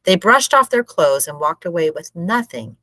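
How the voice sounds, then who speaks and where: A woman reading a book aloud as expressive, measured storybook narration. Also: on the low side, dry recording.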